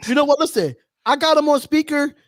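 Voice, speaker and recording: A man's voice: extremely high pitch, fairly quick, dry recording, clean studio sound. A man hosting a casual live sports call-in stream, talking in slangy, repetitive phrases. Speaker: host of a sports call-in show.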